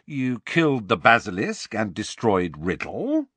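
A man reading aloud and voicing a blunt teenage boy who teases his friend, getting louder with each line. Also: on the low side, huge pitch swings, measured pace, breathy edge, no room sound, heavily acted. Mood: teasing. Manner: teasing, increasingly loud.

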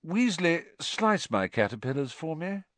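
A man's voice: on the high side, sing-song, measured pace, fairly bright tone, no echo, voice pushed up. A man reading a storybook as a spoiled, smirking teenage boy who drawls with whiny false pity. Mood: smug, mock sorrow. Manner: drawling, mock-sorrowful.

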